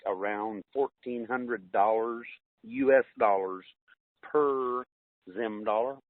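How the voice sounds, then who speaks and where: A man's voice: on the low side, no echo. A man from the United States calling in, chatting casually and a little hesitantly over the telephone. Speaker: caller. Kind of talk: casual phone chat. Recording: narrowband tinny phone line.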